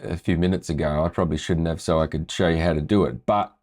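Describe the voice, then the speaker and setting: A man with a low voice talking casually as a music tutor, walking through a screen-share tutorial and often checking in with "right?". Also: fairly even intonation, fast, a little mellow.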